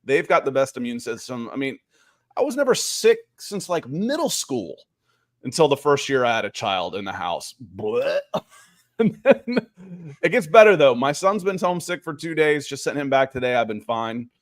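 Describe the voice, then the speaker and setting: A man's voice: medium pitch, normal speed, no echo, clean studio sound. Chatty man hosting a sports podcast. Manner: chatty, animated, reads chat messages aloud. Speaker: podcast host. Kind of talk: casual sports podcast chat.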